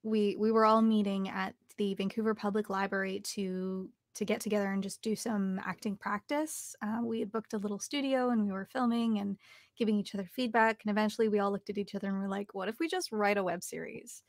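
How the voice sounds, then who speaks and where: Creaky-voiced young woman in an interview. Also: mid-range voice, steady tone, brisk, no room sound, clean studio sound. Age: young adult. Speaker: actor and voice artist being interviewed. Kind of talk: interview conversation. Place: home recording studio, indoors.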